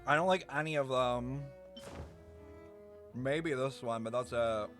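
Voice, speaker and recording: A man's voice: medium pitch, slow, fairly bright tone. Casual male game streamer over music. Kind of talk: casual live game commentary.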